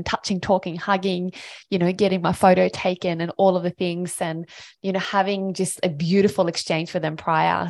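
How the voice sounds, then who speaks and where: A woman's voice: lowish voice, creaky, a little mellow. A woman from Sweden hosting her own podcast, telling a personal story in an enthusiastic, motivational, chatty way. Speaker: podcast host.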